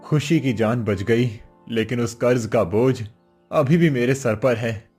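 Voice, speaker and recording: A man's voice: mid-range voice, unhurried, a little mellow, husky, faint music behind. A man voicing a poor, desperate and devoted village cobbler, humble and earnest, in a cartoon story. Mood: burdened, determined.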